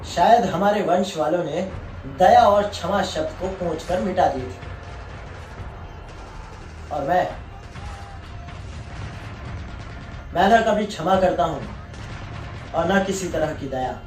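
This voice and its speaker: A proud, battle-hardened colonel played by a male stage actor delivers a grave theatrical monologue. His voice is fairly high for a man, with weighty, deliberate phrasing and drawn-out emphasis. It is heard from a distance in an echoey room, with faint music behind. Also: darkish tone. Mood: cold, merciless. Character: proud, merciless war-veteran colonel presiding over a court martial. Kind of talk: dramatic theatrical Hindi monologue.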